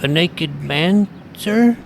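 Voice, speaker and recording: Man playing an earnest boy detective, creaky voice. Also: fairly high pitch, expressive, very slow, background noise, exaggerated voice. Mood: eager, inquisitive. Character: earnest, self-important boy detective, amateur ghost hunter. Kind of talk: first-person scripted narration of a comic mystery.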